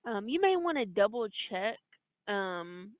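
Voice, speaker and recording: A woman's voice: mid-range voice, sing-song, a little slow, no room sound. A woman giving an informal, step-by-step walkthrough as a trainer on a conference call. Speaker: trainer explaining software steps. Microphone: conference call line. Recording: narrow, tinny conference-call line.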